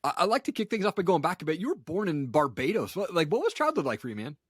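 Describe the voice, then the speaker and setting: A man's voice: a little high, very animated, fast, slightly rough, dry recording, clean studio sound. A man hosting a podcast interview, asking questions and chiming in eagerly. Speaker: podcast host. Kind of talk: interview host.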